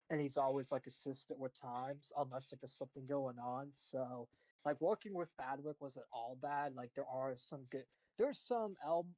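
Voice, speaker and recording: A man's voice: medium pitch, a little mellow, no room sound, rough recording. A man hosting a live stream in casual chat, rambling with frequent swearing and fillers. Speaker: stream host.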